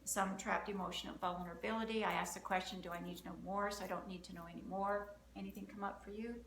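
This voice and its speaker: A woman's voice: medium pitch, steady tone, fast talker, a bit bright. A woman acting as a practitioner and host, walking through an energy-healing session aloud and counting through options in a chatty, upbeat way. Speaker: emotion code practitioner and host. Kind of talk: live energy-healing demonstration, talking through steps. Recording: roomy, slight background noise.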